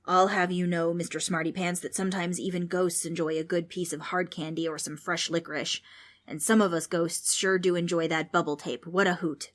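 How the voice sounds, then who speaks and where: Old ghost, irritable and grandly spooky, read by a woman narrator; low-set, mock-menacing storybook delivery. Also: flat delivery, fairly quick, lightly acted. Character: grumpy old male ghost, pompous and theatrical.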